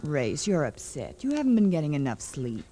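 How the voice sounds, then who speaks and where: Swooping, spoiled society debutante. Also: female voice, low-pitched, big pitch swings, normal speed, mellow, faint music behind. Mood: blasé, mocking. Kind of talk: 1940s radio drama acting. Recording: noisy vintage 1940s radio recording.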